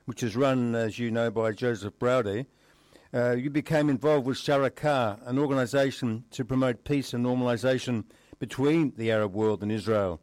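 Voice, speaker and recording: Measured male interviewer, dry close sound. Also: mid-range voice, a little mellow, dry recording. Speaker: interviewer, host. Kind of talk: formal interview questioning.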